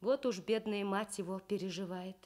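A woman voicing a caring working mother in a radio story, homely and gently chiding. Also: mid-range voice, moderate pace, lightly acted. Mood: gently scolding.

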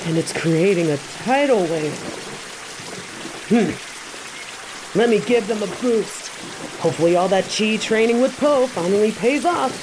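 Woman as confident tiger warrior woman, stern, wry, matter-of-fact, mid-pitched, audio drama, clear ambient noise. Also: animated, a little slow, long pauses, lightly acted. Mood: focused. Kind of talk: scripted audio roleplay. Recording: clear ambient sound effects behind voice.

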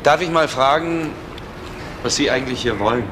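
An actor playing a blunt, matter-of-fact plainclothes detective, asking terse questions in a German crime radio play. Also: male voice, medium pitch, normal speed, close to an everyday voice. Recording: noisy old radio drama recording.

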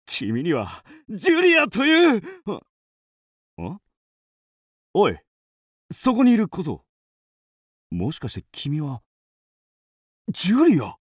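Man acting an earnest, noble young gentleman, wildly expressive. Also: high-pitched, slow, pauses often, slightly rough, no room sound, clean studio sound, exaggerated voice. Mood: outraged, wounded. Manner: earnest, dramatic exclamations and musing. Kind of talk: theatrical radio drama acting.

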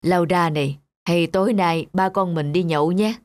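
A woman voicing a middle-aged father in an animated moral tale, patient, protective and earnest. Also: lowish voice, normal speed, natural voice. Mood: conciliatory.

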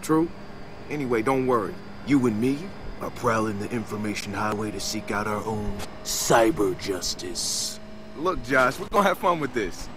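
Actor as playful young male hacker, lively delivery. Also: fairly low pitch, moderate pace, noisy background, lightly acted. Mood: teasing. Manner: casual, upbeat, joking. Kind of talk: video game dialogue acting.

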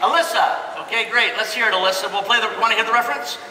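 A man's voice: high-pitched, very fast, recorded from a distance. A man hosting a stage show, speaking casually and upbeat to the audience. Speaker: host of a stage presentation. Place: stage in a large convention hall. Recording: echoey large hall from afar, noisy crowd.

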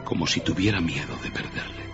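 A man's voice: deep voice, a little fast, highs cut off. A man narrating a scripted dramatic story as a radio-play narrator. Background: music.

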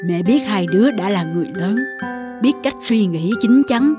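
A woman voicing a gentle, wise and patient older mother-in-law in an animated moral tale. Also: medium pitch, normal speed, natural voice. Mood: solemn, advising. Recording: background music under the voice.

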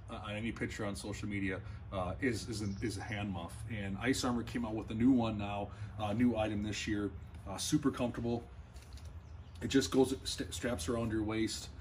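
A man's voice: on the low side, noisy background. A man in his thirties, a fishing channel host, talking casually to the camera in a small indoor gear room. He sounds earnest and keen, repeating himself and restarting phrases.

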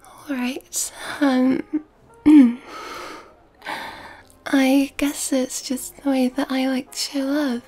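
A woman playing a nurturing, gently dominant, affectionate girlfriend in an ASMR roleplay, in a soft breathy whisper. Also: sits high, level tone, extremely slow, pauses often, full character voice. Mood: gentle, thoughtful.

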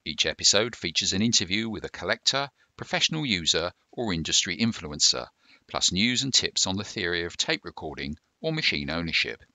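A man presenting a scripted instructional narration in a measured, formal, explanatory manner. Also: sits low, sing-song, bright, forceful tone, dry recording.

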